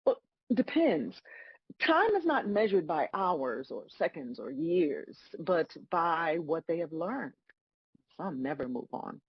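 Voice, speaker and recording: A woman playing God as a wry, patient, bemused celestial judge, explaining things in a measured way at a table read of a comedy. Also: low-pitched, sing-song, husky, no room sound, lo-fi sound, heavily acted.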